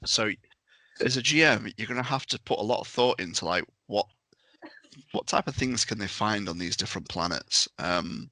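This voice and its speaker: A man with a fairly low but bright, forceful voice speaks as a podcast guest. The sound is close and dry, and his delivery is casual discussion. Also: no room sound.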